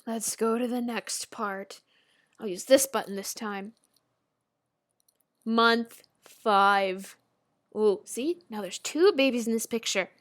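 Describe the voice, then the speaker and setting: A woman reading a story aloud and breaking off for casual, giggly, animated reactions of her own. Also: on the high side, takes it slowly, pauses often, no room sound, clean studio sound. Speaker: video creator reading and reacting to fan fiction.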